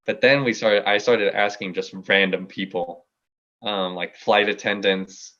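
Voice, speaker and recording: Deep-voiced American teenage guy, slightly dull sound. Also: fairly even intonation, a little slow, fairly bright tone. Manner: playfully argumentative. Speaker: podcast guest, music artist. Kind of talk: casual chat, storytelling.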